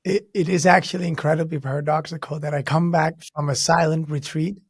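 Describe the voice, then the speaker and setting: A man hosting a podcast in a conversational way, his voice hoarse and raspy as if broken. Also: a little high, steady tone, a little fast, dry recording, studio quality. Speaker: podcast host.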